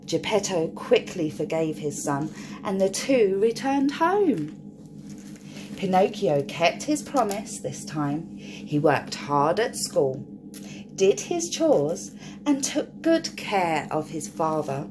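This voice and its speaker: Lady reading kids a picture book, super lively up and down voice, slow, noisy background. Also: fairly low pitch. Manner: addresses young listeners, draws out key words. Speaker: children's storyteller. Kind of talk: expressive picture-book read-aloud for children.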